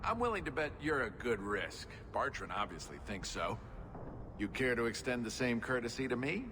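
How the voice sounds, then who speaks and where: A man's voice: medium pitch, very animated, normal speed, close to an everyday voice. A man voicing a wry, persuasive dwarf rogue deal-maker in a video game. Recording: reverberant hall, noticeable ambient noise.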